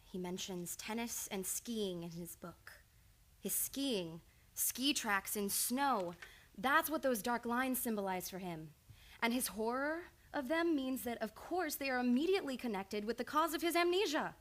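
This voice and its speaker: A woman's voice: on the high side, animated, measured pace, fairly bright tone. A woman playing an earnest, insistent psychoanalyst in old time radio drama, urgent and probing. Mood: analytical, urgent.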